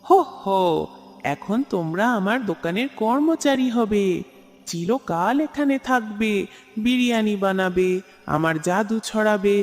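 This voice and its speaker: Cartoon witch, male actor: high-pitched, taunting and cackling, theatrical menace, very echoing hall-like reverb. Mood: threatening. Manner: taunting, theatrical, drawn-out laughs. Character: menacing cackling witch who runs a magical biryani shop. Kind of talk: children's cartoon voice acting. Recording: long reverb, music behind.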